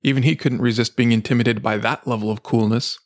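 A man's voice: medium pitch, fairly even intonation, quick pace. A man reading a fantasy story aloud as dramatic scripted narration. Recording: music behind the voice. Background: dramatic background music.

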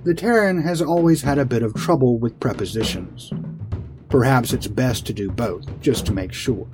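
A man narrating a comic fantasy story in a theatrical, deliberate dramatic-reading style. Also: medium pitch, over music.

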